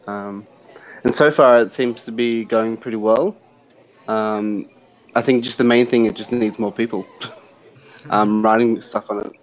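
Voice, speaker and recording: Easygoing, joking man with a low voice. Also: steady tone, a little slow, pauses often, low-quality recording. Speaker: community team member. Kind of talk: casual live-stream chat.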